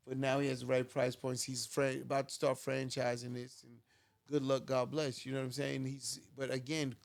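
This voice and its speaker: A man's voice: medium pitch, flat delivery, moderate pace, creaky. A man hosting a podcast in a studio, chatting casually with lots of "you know" asides. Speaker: podcast host.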